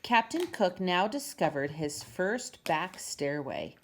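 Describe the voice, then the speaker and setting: A woman's voice: fairly low pitch, very animated, takes it slowly. A woman reading a children's storybook aloud as narrator, in an amateur home recording. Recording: clear background noise, amateur home video.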